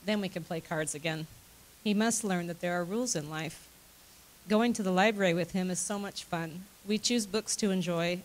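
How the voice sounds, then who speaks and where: A woman's voice: lowish voice, close to an everyday voice, low-quality recording. A woman playing a devoted, widowed grandmother in a reader's theater monologue, speaking in an earnest, sentimental, reflective way. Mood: fond, earnest.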